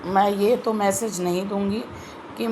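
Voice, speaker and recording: A woman with a sore throat giving a slow, earnest devotional talk in an online video. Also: mid-range voice, steady tone, mellow, slight background noise, rough recording. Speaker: spiritual video host.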